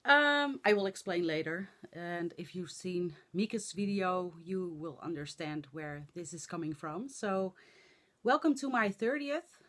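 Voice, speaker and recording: Middle-aged Dutch vlogger, hoarse, slow and halting with lots of ums, low-ish voice. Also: female voice, animated, a bit bright. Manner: rambling, halting with many fillers. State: hoarse from laughing and talking. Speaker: flosstube vlogger. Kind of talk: casual chat to camera.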